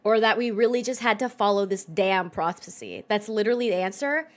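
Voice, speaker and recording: A woman's voice: medium pitch, a little fast. A woman giving casual, reactive game stream commentary in a blunt, sweary, incredulous way.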